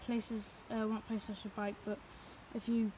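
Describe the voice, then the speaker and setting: A woman's voice: mid-range voice, level tone, normal speed, noisy background. Rambling woman vlogging outdoors. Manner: chatty, rambling, apologetic, many fillers. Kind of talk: casual vlog while biking and walking. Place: outdoors in the countryside. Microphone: phone held or carried.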